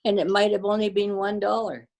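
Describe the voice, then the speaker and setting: An older woman, born to a Danish-speaking family, reminiscing about her community in a casual interview, pausing often with fillers and restarts. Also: medium pitch, level tone. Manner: warm storyteller, halting with fillers and restarts. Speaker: guest.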